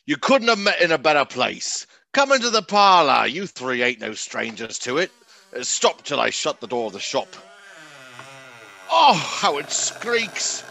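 A man's voice: on the high side, very animated, a little slow, creaky, sharp tone, full character voice. A man playing a sly, chuckling junk dealer of seventy in a radio play. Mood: jolly, welcoming. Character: gray-haired old rascal, wheezy gleeful junk dealer, seventy.

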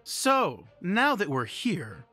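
A woman's voice: fairly low pitch, wildly swooping pitch, slow pace, pushed and bright, dry recording, faint music behind, full character voice. Woman acting a swooping, smug, taunting villain. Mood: smug, menacing. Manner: theatrical, mocking. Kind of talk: dramatic audio drama acting.

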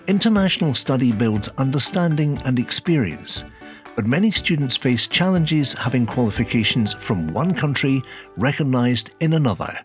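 A man narrates a documentary in a measured, steady way. His voice sits at a middle pitch. Music plays clearly behind him. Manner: measured, even delivery.

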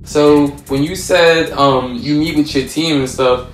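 A man's voice: on the high side, steady tone, a little slow. A man hosting a casual interview over a video call, talking in an informal, slangy way. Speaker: interview show host. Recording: echoey video-call audio, faint music.

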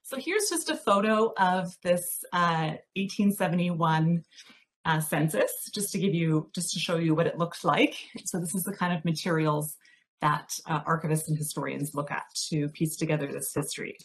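A woman presenting a history talk over slides on a video call, measured and explanatory with frequent fillers. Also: deep voice, a little hiss or hum. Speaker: project researcher presenting a talk.

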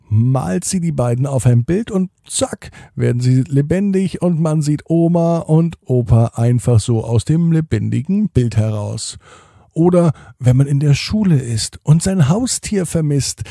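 Man, bedtime story narrator, calm and soothing, slow, husky, dry close sound. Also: fairly high pitch, no room sound. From Germany. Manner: calm, soothing, slowing to a hush at the end. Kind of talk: gentle bedtime story reading.

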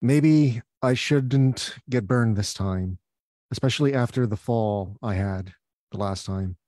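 A man at an open mic reading his poems aloud in a measured, slow manner over a video call. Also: mid-range voice, dark tone, no echo, clean studio sound.

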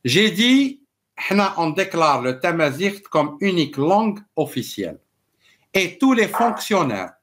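A man's voice: a little high, fairly bright tone. An Algerian-accented man, a native Arabic speaker, explaining emphatically in a live political discussion and switching between languages. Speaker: political commentator and live show host. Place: small indoor home room.

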